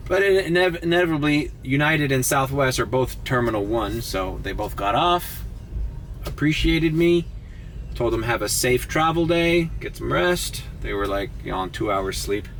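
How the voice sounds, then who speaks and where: A man's voice: mid-range voice, normal speed, slight background noise. A young man, a rideshare driver, casually telling stories in his car early in the morning, sounding groggy and swearing freely. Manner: swears casually, animated storytelling. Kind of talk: casual driving vlog. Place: inside a car.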